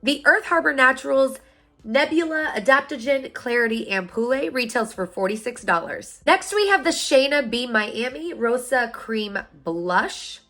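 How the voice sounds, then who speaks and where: A woman in her thirties, a beauty vlogger, sounding hoarse and stuffed up from a sinus infection while chatting upbeat straight to her viewers about products. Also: high voice, expressive, a little slow, bright, forceful tone, faint music behind. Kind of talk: product unboxing review.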